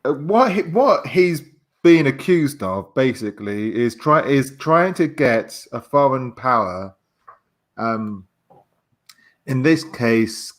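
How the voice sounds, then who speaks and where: A man as a guest in a casual chat, explaining haltingly and stammering as he searches for words. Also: medium pitch, very animated.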